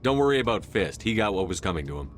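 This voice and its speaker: A man playing a steady, authoritative human military commander in a video game, terse and firm. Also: lowish voice, fast. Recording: game dialogue over music.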